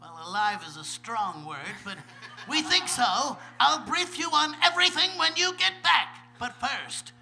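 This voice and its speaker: Officious cartoon agent, voiced by a man, high, creaky, very bright, commanding, deliberate, parody. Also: animated, faint music behind, full character voice, poor audio. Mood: businesslike. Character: gruff, officious male agent on the phone, cartoonish. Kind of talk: comic live script reading.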